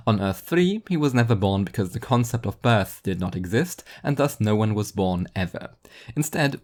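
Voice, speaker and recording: A man's voice: on the low side, a little mellow. A man reading scripted fiction as an audiobook narrator.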